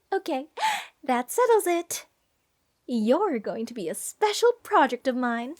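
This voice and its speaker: A giddy, slightly mad female spellcaster, played by a woman in a fantasy ASMR roleplay. Her voice sits high and she takes her time over the words. She rambles and gushes adoringly, with a sweet menace underneath. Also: big pitch swings, takes it slowly, full character voice. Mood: thrilled, infatuated. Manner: breathy gasps, gushing, rambling, sweetly menacing. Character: giddy, unhinged female mage who adores cursed monsters.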